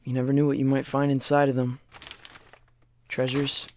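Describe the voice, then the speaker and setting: A man's voice: medium pitch, monotone, normal speed, a little hiss or hum, lightly acted. A young man acting as a weary, reflective survivor of a post-apocalyptic world in an audio drama. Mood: somber, reflective.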